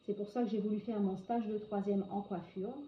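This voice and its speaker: A woman's voice: medium pitch, steady tone. Hesitant woman in her forties, echoey room. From northern France. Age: 40s. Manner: hesitant, frequent filler pauses. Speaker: hairdresser interviewee. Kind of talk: answering interview questions. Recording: echoey, dull lo-fi sound with faint noise.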